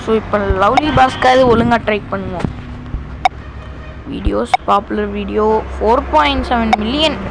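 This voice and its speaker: A woman's voice: a little high, moderate pace. A woman chatting casually to her viewers as a video creator, self-deprecating in manner. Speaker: video creator trying out gamers' intro lines. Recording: clearly noisy, background hum.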